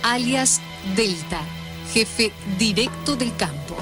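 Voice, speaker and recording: Woman narrating over loud music. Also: fairly high pitch, animated, slow. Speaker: documentary narrator. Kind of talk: scripted documentary narration.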